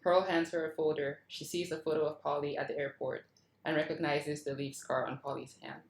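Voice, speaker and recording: A woman's voice: sits low, little pitch movement, fairly quick, creaky, slight background noise. A woman reading out scene headings and action lines from a script as scripted narration. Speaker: reader of stage directions at a table read. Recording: roomy, echoing video call audio.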